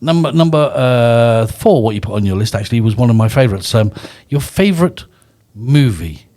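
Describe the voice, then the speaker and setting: A man's voice: medium pitch, animated, normal speed, warm tone. A man hosting a podcast in a studio, playful and chuckling, often repeating his words. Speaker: podcast host. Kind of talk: casual podcast interview.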